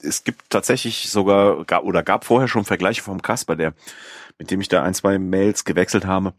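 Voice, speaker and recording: A man's voice: deep voice, moderate pace, no echo, clean studio sound. A man hosting a podcast in casual chat, rambling with many fillers and restarts. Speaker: podcast host.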